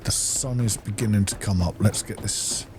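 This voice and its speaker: A man's voice: fairly low pitch, moderate pace, noisy background. A man giving casual gameplay commentary, muttering to himself as he plays. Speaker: gaming host. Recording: loud game music behind voice. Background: game music and sounds.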